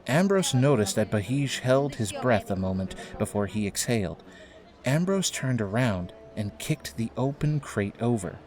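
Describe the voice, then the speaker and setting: A man's voice: mid-range voice, animated, moderate pace, a little mellow. A man reading scripted narration for an audio drama, in a storytelling style. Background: faint music.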